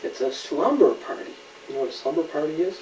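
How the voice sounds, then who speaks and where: A man's voice: fairly high pitch, big pitch swings, fast, darkish tone. Man reading a picture book, roomy distant recording. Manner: explains patiently, asks the child questions. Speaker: father reading a story to a child. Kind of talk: read-aloud picture book storytelling with casual asides. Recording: roomy, distant mic, faint background noise.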